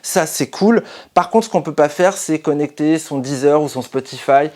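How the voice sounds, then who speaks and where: A man's voice: a little high, normal speed, darkish tone. A man presenting a casual product review as the host of a channel.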